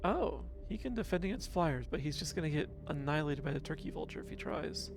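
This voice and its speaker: Man, mixed accent, fairly high voice, slow musing game talk, soft chuckles. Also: lively intonation, creaky, noisy background. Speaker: streamer. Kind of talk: casual game commentary.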